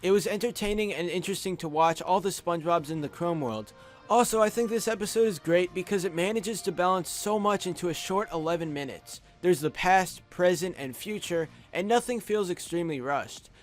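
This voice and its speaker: A man's voice: high-pitched, a little fast, faint music behind. A young adult man giving scripted top-five countdown commentary as a video host, speaking into a close microphone. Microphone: close USB studio microphone.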